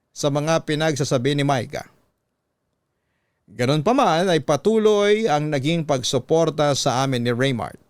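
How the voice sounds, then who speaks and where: A man's voice: a little high, no room sound. Male Filipino radio storyteller, scripted first-person narration, dry close studio sound, faint guitar underneath.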